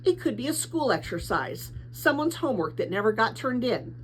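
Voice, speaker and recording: A woman's voice: a little high, big pitch swings, normal speed, slight background noise, poor audio. A woman voicing a kindly but patronizing female messenger captain in a storybook, soothing and fervent in her belief. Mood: puzzled, dismissive.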